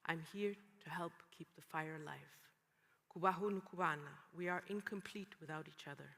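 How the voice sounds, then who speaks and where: A woman from Rwanda, a symposium speaker, giving slow, measured, poetic personal testimony. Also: sits low, creaky, sharp tone. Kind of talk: spoken-word personal testimony. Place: large conference hall. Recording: long hall reverb.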